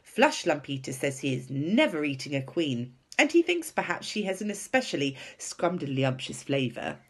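A woman's voice: low-pitched, very animated, moderate pace, slightly rough, full character voice. A woman voicing a big, friendly but stubborn male giant who talks in muddled grammar and invented words for a storybook. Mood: earnest.

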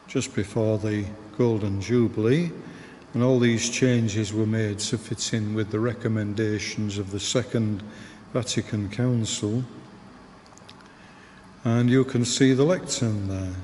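A man with a Northern English accent, giving a slow, gentle guided tour inside a large church, recorded on a handheld camera. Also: lowish voice, steady tone. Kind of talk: unscripted guided tour voice-over. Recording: reverberant church hall, faint background noise.

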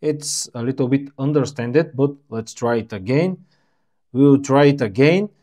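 A man whose first language is Bulgarian, casually walking through phone features with plain, slightly halting phrasing. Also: medium pitch, mellow. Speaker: tech reviewer. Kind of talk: casual screen walkthrough.

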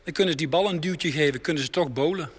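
A man's voice: on the high side, quick pace. A man who runs a bowling lane firm, explaining his ideas in earnest to a radio reporter at a bowling alley, speaking into a handheld mic. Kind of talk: radio interview answers. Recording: on-location radio report, background noise. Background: bowling alley ambience.

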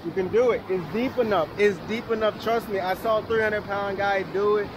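Young man, high voice, casual easygoing vlogger, colloquial phrasing, outdoor camera audio, faint background noise. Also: fairly even intonation, brisk. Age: young adult. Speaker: travel vlogger. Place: outdoors by a roadside and river waterfall.

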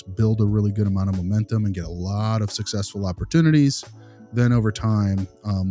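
A man playing a patient behavior consultant who gives feedback in a halting, rambling, reassuring, conversational way. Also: on the low side, warm tone, natural voice. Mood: encouraging. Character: male behavior consultant, patient, diplomatic, encouraging. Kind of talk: conversational role-play of a consultation.

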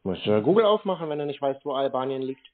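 A German man speaks casually but confrontationally on a call. His medium-pitched voice is dismissive and mocking, with blunt replies. The sound is narrow, like a phone line. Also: big pitch swings, fast. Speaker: scam baiter taking a phone call. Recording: thin narrow-band phone audio.

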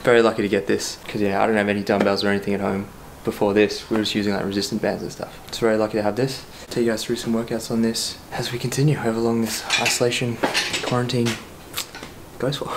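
A young man vlogging casually in a relaxed, chatty, self-mocking way, filmed on a camera mic under a house deck and indoors. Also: on the low side, background noise. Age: young adult. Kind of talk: casual vlog chat. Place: outdoor area under a house deck, then indoor room.

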